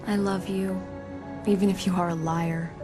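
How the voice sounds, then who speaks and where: A woman's voice: mid-range voice, little pitch movement, normal speed, natural voice. A woman playing an affectionate, teasing, slightly anxious wife in an intimate game drama. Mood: tender teasing. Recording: music behind the voice.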